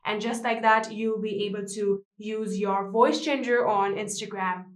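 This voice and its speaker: A woman's voice: mid-range voice, fairly even intonation, unhurried, reverberant. A woman narrating a step-by-step how-to tutorial as its presenter.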